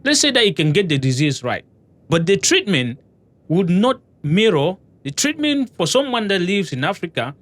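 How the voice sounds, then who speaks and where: A man's voice: sits high, very animated, normal speed, fairly bright tone. A man in a casual discussion, talking with hesitation and lots of restarts. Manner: hesitant, many fillers and restarts.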